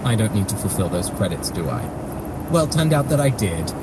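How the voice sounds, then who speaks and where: A man's voice: fairly low pitch, big pitch swings, moderate pace. A man from Europe co-hosting a podcast, telling a story in a wry, self-deprecating way. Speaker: podcast co-host. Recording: loud background noise.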